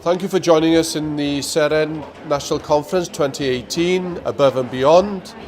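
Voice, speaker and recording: A man making a formal, measured bilingual conference announcement. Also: on the high side, a little mellow, a little hiss or hum.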